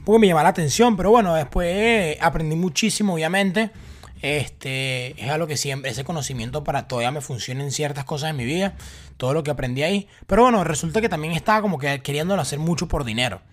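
A man's voice: on the high side, animated, fast talker. Chatty Venezuelan man sharing his story. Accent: Venezuelan Spanish. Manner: casual and talkative, with many fillers and repeated words. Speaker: vlogger. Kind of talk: personal story told to camera.

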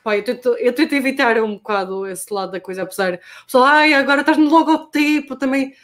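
A woman's voice: sits high, fast, fairly bright tone. A young adult woman from Portugal with a Portuguese accent, chatting casually and hesitantly as a guest, with slang and English terms mixed in. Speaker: guest skater. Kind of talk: casual chat.

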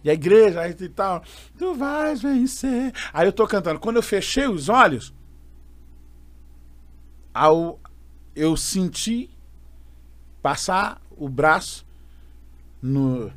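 A Brazilian man, a gospel singer guest, telling an animated story and acting out the voices of others. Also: sits high, takes it slowly, long pauses, no echo. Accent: Brazilian Portuguese. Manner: expressive, acts out quoted voices.

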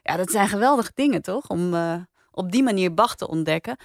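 A woman's voice: mid-range voice, animated, a little fast, creaky, no echo, clean studio sound. A woman with a Dutch accent hosting a podcast in casual review chat. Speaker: podcast host.

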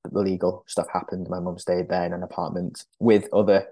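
A man in his thirties, born in Peru and raised in England, thoughtfully recalling his past as a podcast guest with many pauses and restarts. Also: low-pitched, level tone, mellow, dry recording. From born in Peru, raised in northern England. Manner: thoughtful, hesitant, frequent restarts and ums. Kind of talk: conversational interview.

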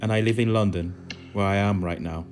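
Brazil-born guy, lowish voice, slow calm guided talk, faint music. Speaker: dance artist. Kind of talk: guided narration.

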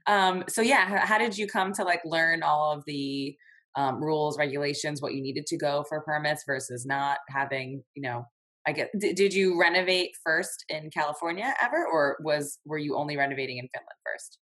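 A woman's voice: low voice, normal speed, fairly bright tone. A woman hosting a casual interview, asking questions informally with plenty of "like". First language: English. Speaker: interviewer, host.

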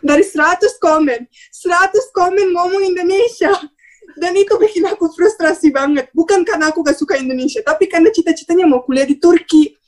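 High, quick-talking young woman. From Bosnia. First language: Bosnian. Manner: talkative, expressive, mixes in English words. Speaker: podcast guest. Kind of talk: casual conversational storytelling.

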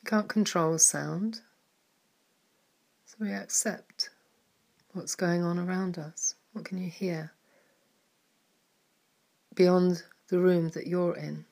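A woman leading a guided mindfulness meditation in a soft, calm manner, with some words trailing into a whisper. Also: on the low side, takes it slowly, creaky, pauses often.

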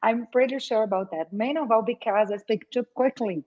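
A woman's voice: fairly high pitch, brisk, darkish tone. A woman from Brazil, a native Portuguese speaker with a Brazilian accent, chatting casually in English as a guest and laughing often. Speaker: guest, lawyer. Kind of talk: casual conversation. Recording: dull lo-fi sound.

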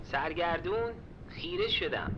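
An actor playing a calm but stubborn man who insists on waiting for someone to look at him. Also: on the high side, dull audio, noisy background. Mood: insistent.

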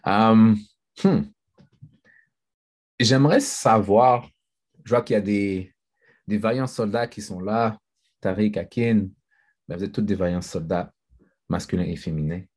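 A man moderating a group discussion, relaxed and mixing in English phrases. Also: on the low side, measured pace, pauses often.

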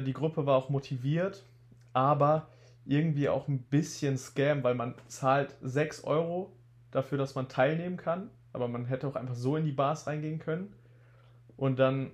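A young man in his twenties with a slight cold, telling stories in a relaxed, casual podcast chat. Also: medium pitch, unhurried. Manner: relaxed storytelling, laid-back slang. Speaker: podcast co-host.

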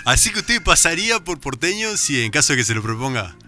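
A man's voice: fairly high pitch, big pitch swings, a little fast, sharp tone, faint music behind. A male radio host in a comedy chat, teasing a guest with formal address and laughing often.

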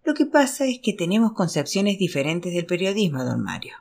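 A woman's voice: fairly low pitch, big pitch swings, fairly quick, gravelly, a little mellow, heavily acted. A woman voicing a stubborn but conciliatory newsroom man in a novel, justifying himself.

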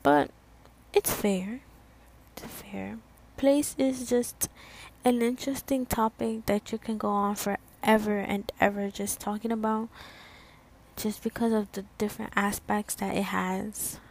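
Slow-spoken young woman, rambling. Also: medium pitch, steady tone, a little mellow, a little hiss or hum, poor audio. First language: Spanish. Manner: rambling, many fillers and restarts. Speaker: student podcast host. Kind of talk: casual solo podcast talk.

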